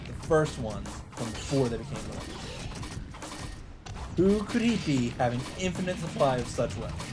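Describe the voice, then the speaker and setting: Expressive narrator reading over music. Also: medium pitch, moderate pace. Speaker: let's player reading a visual novel aloud. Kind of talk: dramatic narration with casual asides.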